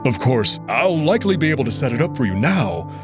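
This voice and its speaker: Guy doing a formal, stoic furry alien, measured and careful, music playing behind. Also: mid-range voice, sing-song, lightly acted. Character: earnest male furry alien, formal and dutiful.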